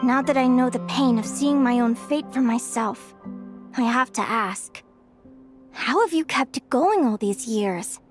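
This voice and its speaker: A woman's voice: a little high, unhurried, soft background music, lightly acted. A woman voice actor playing an earnest, determined young advisor in a video game, speaking in a friendly, articulate, thoughtful way.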